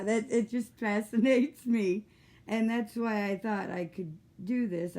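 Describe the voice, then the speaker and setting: A woman's voice: lowish voice, darkish tone. A woman hosting a casual livestream interview, chatting informally with folksy exclamations. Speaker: host of a livestream chat.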